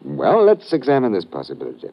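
British inspector, dry and polite, very lively up and down pitch, muffled old radio recording. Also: male voice, creaky, full character voice. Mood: thoughtful. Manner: measured, hesitant, thoughtful. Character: methodical, courteous, dry British police inspector. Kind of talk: old-time radio drama acting. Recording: dull vintage radio recording.

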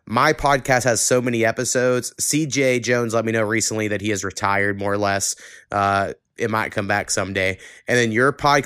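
A man's voice: mid-range voice, fairly bright tone, no echo, clean studio sound. A man talking informally in a casual podcast conversation, slangy and loose as a host. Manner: informal, occasional swearing, slangy.

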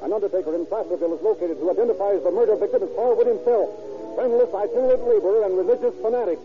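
A man narrating a 1930s radio crime drama in a brisk, dramatic announcing manner. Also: high-pitched, close to an everyday voice. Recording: tinny old radio broadcast under loud music.

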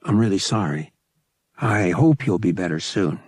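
A man's voice: deep voice, lively intonation, moderate pace, darkish tone, husky, no echo, studio quality. A man playing a casual, slangy young male pilot with a sympathetic streak in an audiobook. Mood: sincere.

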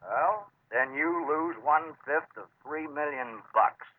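Casting: cocksure salvage skipper, commanding, stubborn, slightly high voice, thin vintage radio-drama sound. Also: male voice, slow. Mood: insistent. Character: stubborn, daring salvage ship captain, commanding and confident. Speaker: actor in a radio suspense drama. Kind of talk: old-time radio acting. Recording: tinny narrow-band vintage radio recording.

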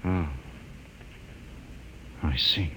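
An actor playing an earnest, excitable scholarly spaceship passenger, sputtering and insistent, in 1950s radio drama style. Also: male voice, sits low, extremely slow, heavily acted. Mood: shaken. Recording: noisy old radio broadcast recording.

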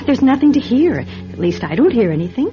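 Soothing female friend, very expressive pitch, coaxing manner, radio drama, dull recording. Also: a little fast, soft background music. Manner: gently reassuring, coaxing. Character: soothing, solicitous woman friend of troubled widow. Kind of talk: old-style radio drama acting.

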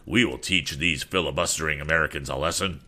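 Man acting out imperious naval officer, loudly declamatory. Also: extremely low pitch, brisk, heavily acted. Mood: imperious, menacing. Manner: declamatory, barking orders. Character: imperious, gold-laced Brazilian naval officer, commanding and theatrical.

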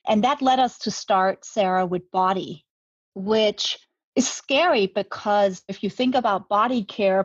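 A woman's voice: medium pitch, slightly rough, no room sound, dull audio. Woman, reflective interview guest. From Denmark. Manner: reflective, many restarts and repeated words. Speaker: guest, brand co-founder. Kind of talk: conversational interview.

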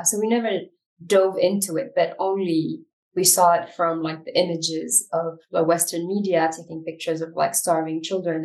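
A woman's voice: lowish voice, darkish tone. Reflective woman from Ethiopia, slightly distant. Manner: reflective, frequent fillers and restarts. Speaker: guest, documentary filmmaker. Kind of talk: conversational interview answers.

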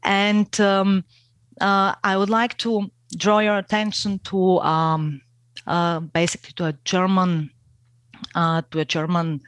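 Woman, guest expert, slow deliberate delivery with frequent fillers. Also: medium pitch, little pitch movement, clear, resonant tone, dry recording. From Bulgaria. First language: Bulgarian. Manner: hesitant, many fillers, careful academic phrasing. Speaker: guest, geopolitics researcher. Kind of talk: interview answers.

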